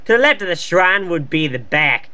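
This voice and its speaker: A man's voice: on the high side, sing-song, normal speed, bright, forceful tone. A wisecracking man bantering casually and reading a story aloud for comedy. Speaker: co-host reading and commenting.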